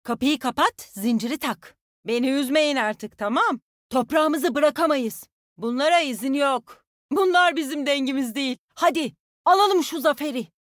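Casting: actress as young female game fighter and healer, high pitch, plucky, folksy, confident, very dry studio sound. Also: moderate pace, dry recording, natural voice. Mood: firm, assured, then rallying. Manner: folksy, confident, spirited team talk. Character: spirited tough young Australian woman fighter, nature-loving healer. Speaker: game character voice actor. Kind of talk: video game voice lines, short battle call-outs.

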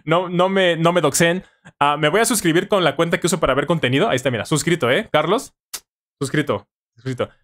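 Male streamer, 30s, Mexican accent, voice a little high, informal tutor chatting with viewers on a close studio mic. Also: brisk, fairly bright tone. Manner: informal, conversational, repeats phrases for emphasis. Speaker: live-stream host, language-learning tutor. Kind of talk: casual live chat answering viewer questions. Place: small home studio room, indoors. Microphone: studio microphone on boom arm, close.

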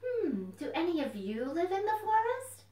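A woman presents storytime to little children. Her voice is high with very animated intonation, and she encourages listeners with simple questions. She sounds some way from the mic in an echoey room. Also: moderate pace, far from the mic. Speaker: children's librarian, storytime host. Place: indoors at home.

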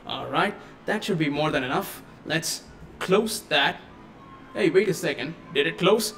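A man hosting a casual live-stream tech tutorial at his home desk on a studio microphone, chatty and given to excited exclamations. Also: on the high side, unhurried, faint music behind. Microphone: USB studio microphone.

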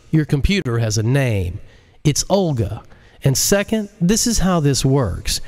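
A man's voice: medium pitch, a little mellow, voice pushed up, natural voice. A man voicing a cocky, sardonic computer genius with a mocking, folksy, scolding manner in an audiobook.

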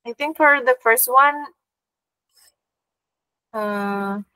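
Young woman tutor, Indonesian accent, higher voice, slow and careful, dry video-call sound. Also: clean tone, no echo, rough recording. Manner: gentle, hesitant English with Indonesian fillers. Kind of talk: online language lesson. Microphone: laptop video call.

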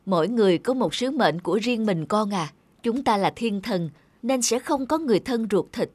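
A woman voicing a gentle, wise guardian angel in a cartoon, tender and instructive. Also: mid-range voice, expressive, normal speed, breathy edge, dry recording. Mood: gentle, solemn. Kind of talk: animated fairy-tale dubbing.

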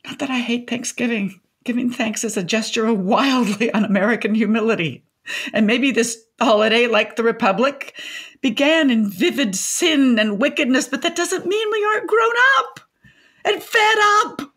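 An actress playing an opinionated, garrulous older widow in a comedic radio play, with a wordy, theatrical, dryly sardonic delivery. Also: female voice, on the high side, moderate pace, husky. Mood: dry, disapproving. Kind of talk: comedic radio drama acting.

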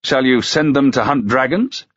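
A proud, stern medieval duke played by a male narrator commands in archaic speech. The voice is husky and pitched above the reader's own, with a dull, dry sound. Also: normal speed, a bit bright, no echo. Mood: jovial.

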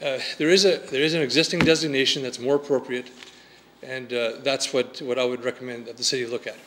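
A man from Canada speaks unscripted at a council hearing, halting and clearing his throat between points. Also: mid-range voice, steady tone, fairly bright tone. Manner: halting, full of fillers and restarts, clears throat. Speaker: resident objecting at a council hearing. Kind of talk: unscripted public comment. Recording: faint noise, council chamber mic.

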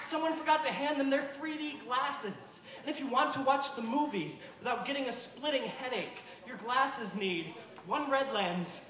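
A man's voice: extremely high pitch, moderate pace, few pauses, a crowd in the background. A man performing slam poetry in an emphatic, declamatory way, projecting his voice to the room. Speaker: slam poet. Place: live poetry venue. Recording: echoey, noisy live venue, distant mic.

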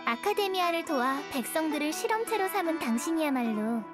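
A woman's voice: sits high, animated, a little fast, over music. A woman voicing a polite but pointed young student in an anime game. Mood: accusing. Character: polite youngster student turning coolly accusing.

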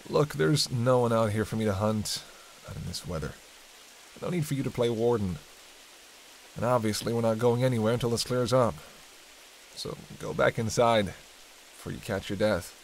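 A man's voice: mid-range voice, normal speed, gravelly, lightly acted, low-quality recording. A man playing a hungry, cranky vampire in a roleplay audio drama, bantering sardonically and intimately with a listener cast as a hunter. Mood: weary, curt. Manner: sardonic, teasing, dry banter. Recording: steady rain and hiss behind.

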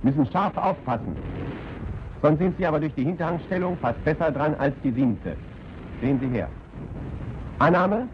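A man playing a brisk, stern German army officer in a 1940s training film, with clipped, commanding speech. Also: on the high side, lively intonation, natural voice. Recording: dull, noisy 1940s film soundtrack.

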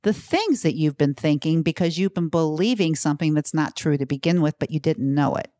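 A woman's voice: low-pitched, expressive, brisk, warm tone, no room sound, studio quality. A woman in her fifties, a weight-loss coach and podcast guest, speaking earnestly and reflectively, with easy laughter. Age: 50s. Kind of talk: conversational interview.

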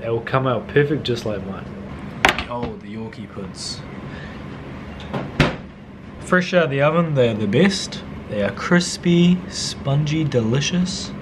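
A young man with a New Zealand accent, talking casually through a cooking tutorial in his home kitchen, slangy and swearing offhand, often dropping to low mutters. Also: background noise. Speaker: cooking vlogger.

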